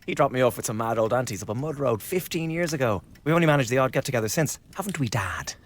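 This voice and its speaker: An actor playing a sardonic, contemptuous young man who mocks his father with glib, lively remarks in a radio drama. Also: medium pitch, fast talker, lightly acted. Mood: mocking. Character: mocking, cold young man, the returning son.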